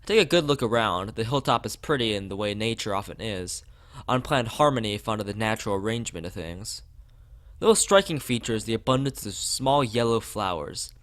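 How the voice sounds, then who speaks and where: A man's voice: on the low side, expressive, a little fast, a bit bright. A man from the United States, a let's play streamer, reading a visual novel aloud.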